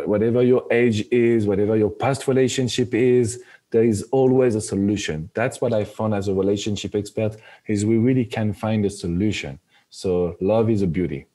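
A man in his thirties speaks English with a strong French accent, his voice low and mellow. He sounds earnest and encouraging, laughing easily as a guest. He is heard through earphone mics on a video call from a home office. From France. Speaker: guest relationship expert. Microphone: earphone headset on video call.

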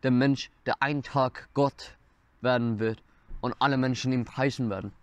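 A man's voice: medium pitch, fairly even intonation, moderate pace, clear, resonant tone, dry recording. A nineteen-year-old man from Iran, sharing a personal testimony in earnest, slightly non-native German while seated before a camera indoors. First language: Persian. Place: indoors, dark wooden wall behind. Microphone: camera in front, seated mid-shot.

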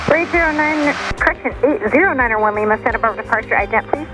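A woman's voice: high voice, lively intonation, quick pace, over loud music, low-quality recording. A woman air traffic controller giving clipped instructions over an aircraft radio.

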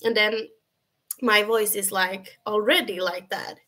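A young woman from Sweden with a Swedish accent and a high, feminine voice, chatting casually with viewers on a webcam livestream from her bedroom, full of fillers and restarts. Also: steady tone, unhurried, sharp tone. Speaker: livestream host. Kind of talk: casual live chat answering viewers. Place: bedroom at home, indoors.

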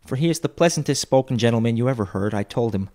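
A man's voice: medium pitch, expressive, quick pace, dark tone, natural voice. A man voicing a kindly, bereaved poor clerk and father in a storybook, earnest and heartfelt. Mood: earnest warmth.

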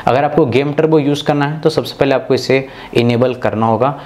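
Male, medium pitch; scripted tutorial voice, instructional, walks through each step. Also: a little fast, darkish tone. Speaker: tech video host.

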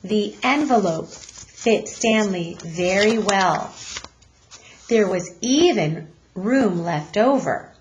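Female teacher read-aloud: unhurried, expressive children's narration, mid-range pitch. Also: low-quality recording.

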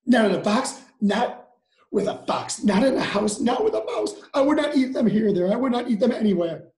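A man's voice: sits high, lively intonation, fairly quick, a little mellow, reverberant. A man voicing a grumpy, stubborn storybook creature, chanting rhymes with exasperated emphasis.

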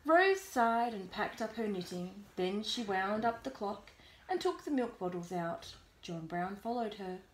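A woman reading a bedtime storybook aloud to a young child in an expressive, deliberate way, pausing to prompt. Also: mid-range voice. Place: small bedroom at home. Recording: roomy echo, background noise, clicks.

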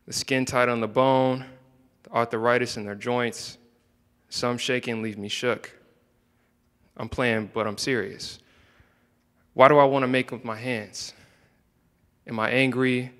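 A young man, mid-pitched and soft-spoken, reads his own spoken word poems indoors. He holds a handheld microphone, and his voice trails off into a long hall reverb. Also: steady tone, unhurried, long pauses. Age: young adult. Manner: soft-spoken, hesitant between poems, with filler ums. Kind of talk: spoken word poetry reading. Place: indoor room, standing by a wall.

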